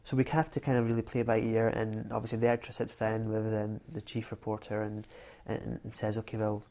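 Hesitant man in a flat-voiced interview. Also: on the low side, fairly quick. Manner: hesitant, many fillers and restarts. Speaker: newspaper staff interviewee. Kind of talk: research interview.

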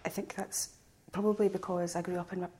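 A woman in her twenties with a Glasgow accent, a university student chatting casually, often pausing with fillers. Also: fairly low pitch, level tone, warm tone, slight background noise. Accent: Glaswegian. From Glasgow, Scotland. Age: 20s. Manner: chatty, hesitant with fillers and restarts, laughs easily. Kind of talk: casual chat.

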